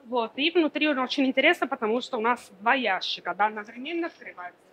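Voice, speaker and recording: A woman's voice: on the high side, expressive, quick pace, fairly bright tone, rough recording. A woman in her thirties presenting furniture at a fair stand, explaining fluently with many small fillers. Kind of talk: product demonstration.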